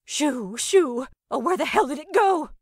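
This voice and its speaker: A woman's voice: high-pitched, expressive, a little slow, a little mellow, dry recording, studio quality, voice pushed up. A woman voicing a brash, irritable female shopper in an audio story, blunt, exclaiming and exasperated. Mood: startled, irritated.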